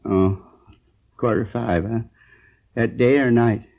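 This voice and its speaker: A dull vintage radio recording of a frail grocer in his sixties. He is dazed and querulous, speaking haltingly at a medium pitch in an old radio drama. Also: fairly even intonation. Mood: dazed, weak. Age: 60s. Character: frail, dazed elderly injured grocer in hospital. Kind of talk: 1950s radio drama acting.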